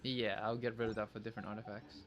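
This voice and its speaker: A man's voice: lowish voice, flat delivery, fast, lo-fi sound. A man doing casual livestream gameplay chat, thinking aloud with playful, childish wording. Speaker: game streamer. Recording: faint background noise.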